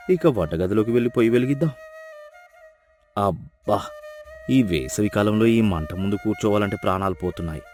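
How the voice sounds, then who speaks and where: A man's voice: on the low side, a little mellow, close to an everyday voice. A man voicing a miserly, cunning village farmer in a moral story, whining and grumbling with exaggerated excuses. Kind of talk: dramatised moral story voice acting.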